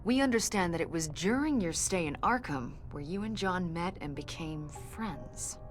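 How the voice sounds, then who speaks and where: An actress playing a confident government agent in a video game, questioning in a pointed, insinuating and accusatory way. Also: female voice, lowish voice, expressive, moderate pace, soft background music, close to an everyday voice. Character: probing female government agent, confident, accusatory, smoothly needling. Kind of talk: video game dialogue acting.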